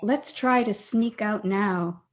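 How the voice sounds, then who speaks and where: An actress as a scoffing, unhurried young woman. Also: mid-range voice, close to an everyday voice. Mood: scornful. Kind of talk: old-time radio acting.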